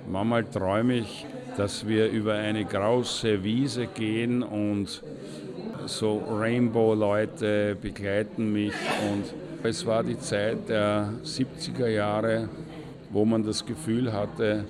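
Actor as slow-spoken dreamy older man, crowd behind. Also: on the low side. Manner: musing, halting. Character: dreamy older idealist recalling the seventies. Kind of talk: reminiscence in an audio drama.

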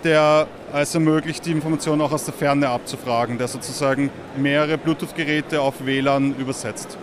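A man explaining his product at a fair stand, talking fast and casually. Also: fairly high pitch, steady tone, a crowd in the background. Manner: quick, casual, laughs often. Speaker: startup founder at a fair stand. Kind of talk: interview answers. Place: busy indoor fair hall.

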